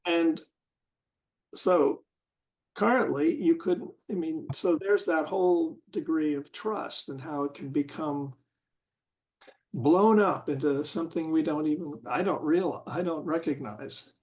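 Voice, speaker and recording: A man in a casual group discussion, talking haltingly and searching for words with many restarts. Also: fairly high pitch, a little mellow.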